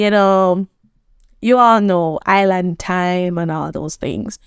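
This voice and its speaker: A woman talks straight to the viewer as a self-improvement coach. She sounds earnest and conversational and keeps checking in with her listener. Her voice sits at an ordinary pitch for a woman, in a dry, close recording. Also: clear, resonant tone, no echo. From Jamaica. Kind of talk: motivational talk to camera.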